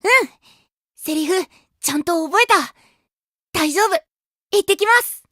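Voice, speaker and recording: Casting: sunny boyish game idol, extremely high youthful voice, sweet, innocent, short simple phrases, dry studio-like sound. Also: male voice, a little slow, a bit bright, no echo. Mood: cheerful, admiring. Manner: childlike, simple clipped phrases. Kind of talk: Japanese mobile game voice acting.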